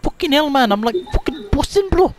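High-voiced young man gaming and swearing. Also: a little fast, background noise. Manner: swears a lot, laughs, gets loud and excited. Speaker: gaming channel host. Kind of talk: casual gameplay chat. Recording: game audio with gunfire behind. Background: gunfire from the game.

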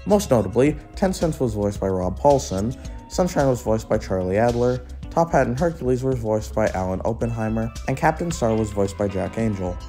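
A man's voice: on the low side, expressive, normal speed, warm tone, faint music behind. A man co-narrating a scripted video essay as a guest.

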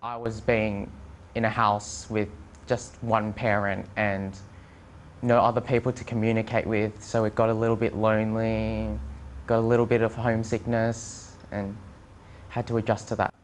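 A man's voice: on the low side, little pitch movement, moderate pace, slight background noise. A young adult man giving an unscripted testimonial as a former exchange student. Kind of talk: unscripted interview testimonial to camera. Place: indoor studio with plain backdrop.

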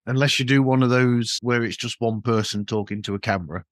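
A man's voice: medium pitch, fairly even intonation, a little fast, no echo, clean studio sound. A man hosting a podcast, talking casually as he interviews a guest. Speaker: podcast host.

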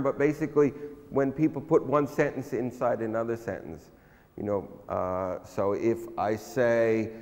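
An older man, a guest lecturer, answering audience questions in a relaxed, hesitant way with many pauses. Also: mid-range voice. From United States. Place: large frescoed hall, indoors. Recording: long hall reverb.

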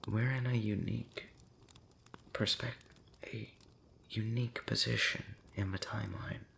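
A man rambling intimately late at night in an ASMR style, breathy and often whispering, hesitant and pensive with long pauses and soft hums. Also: lowish voice, steady tone, a little hiss or hum. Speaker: audio roleplay channel creator.

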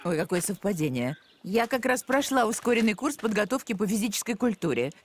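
A woman's voice: mid-range voice, very animated, brisk, faint music behind. A woman voicing a bossy, self-assured nanny who gives orders like a drill-sergeant coach in a children's cartoon. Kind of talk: animated children's cartoon dialogue.

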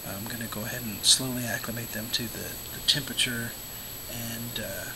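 A man with a hoarse, scratchy voice from being sick, often slipping into a whisper as he talks casually about his fish tank. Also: medium pitch, moderate pace. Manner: relaxed, rambling, many fillers. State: hoarse, losing his voice from illness. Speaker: aquarium vlogger, show host. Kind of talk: casual talk to camera.

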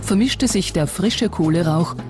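This is a documentary narrator delivering a prepared script. The voice sits at a middle pitch, and music plays clearly behind it throughout. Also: measured pace. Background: background music.

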